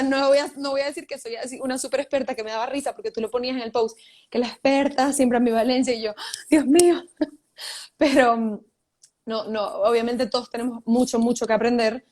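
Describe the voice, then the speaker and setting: A woman's voice: high-pitched, fairly even intonation, a little fast, darkish tone. A young woman with a Venezuelan accent, telling her story as a guest on a casual video call, chatty and laughing often. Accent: Venezuelan Spanish. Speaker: guest writer. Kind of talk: casual live video chat.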